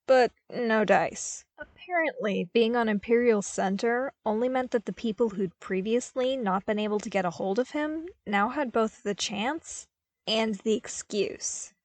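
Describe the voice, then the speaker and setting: Expressive woman narrator, close and dry. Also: fairly high pitch, measured pace, no room sound. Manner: wry, conversational, emphatic. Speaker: podfic narrator.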